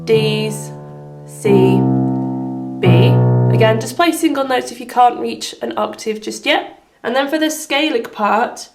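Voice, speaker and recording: A woman with a slightly hoarse voice giving step-by-step piano instruction as the host of a tutorial. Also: fairly high pitch, slow. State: slightly hoarse from having been unwell. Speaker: piano teacher and video host. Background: piano playing.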